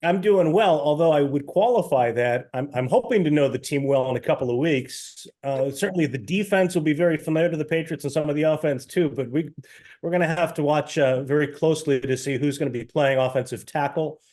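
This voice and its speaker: Mellow-voiced man giving sports analysis. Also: a little high, a little fast. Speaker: guest, team radio play-by-play announcer.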